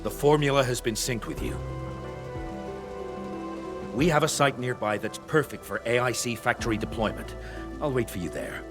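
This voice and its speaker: A man's voice: mid-range voice, normal speed, long pauses, close to an everyday voice. A male actor playing a blunt, dryly pragmatic, self-assured expert in a video game. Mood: dry, businesslike. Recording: game audio over music and rain.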